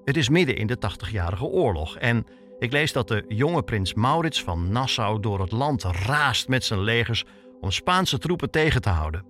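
A man's voice: medium pitch, very animated, moderate pace, fairly bright tone. Dutch man narrating over music. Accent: Netherlands Dutch. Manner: reflective, poses questions to himself. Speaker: reporter and podcast host. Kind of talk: scripted personal documentary narration. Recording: music bed under the voice.

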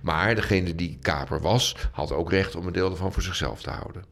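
Deep-voiced man explaining history. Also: moderate pace, creaky, a bit bright. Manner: explanatory, punctuated with tag questions and rephrasings. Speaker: interviewed historian. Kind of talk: conversational explanation in an interview.

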